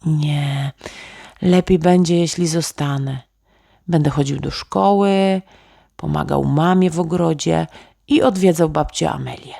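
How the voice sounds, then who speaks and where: A woman's voice: low-pitched, a little slow, creaky, mellow, no room sound, studio quality, full character voice. A woman voicing a dreamy, imaginative little boy who muses aloud in a bedtime storybook. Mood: wistful, resigned. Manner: musing, pondering aloud with hums.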